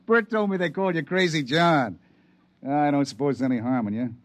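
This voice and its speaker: A man's voice: on the high side, brisk, close to an everyday voice. An actor playing a suspicious American settler father in a vintage radio drama, blunt and dismissive in a folksy way. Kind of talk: mid-century American radio drama acting.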